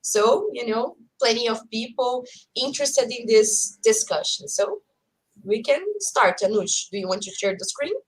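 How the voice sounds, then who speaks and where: A woman from Brazil hosting an online panel in English, briskly introducing guests and moving the session along. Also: fairly high pitch, poor audio. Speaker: host professor. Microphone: video call.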